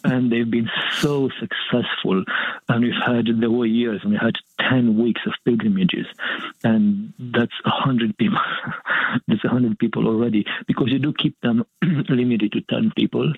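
A husky, gravelly man, speaking reflectively and chuckling often as he talks. His voice comes through a tinny, phone-like line with a dry sound. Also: medium pitch, steady tone, measured pace, dry recording. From Romania. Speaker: monastic guest, pilgrimage leader. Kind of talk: casual interview conversation.

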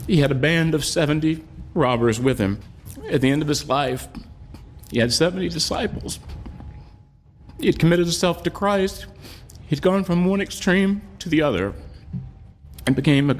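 A man who is a priest, delivering a sermon. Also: fairly high pitch. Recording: clear background noise, live room sound.

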